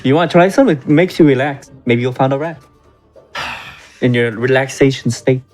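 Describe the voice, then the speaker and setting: A man's voice: medium pitch, normal speed, a little mellow, faint music behind. A man playing a tabletop role-playing game, bantering casually and jokingly in character.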